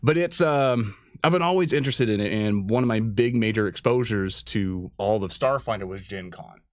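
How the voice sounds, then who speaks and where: A man hosting a casual interview, chatting in an excitable, rambling way. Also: lowish voice, normal speed, dry recording, clean studio sound. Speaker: interviewer, show host.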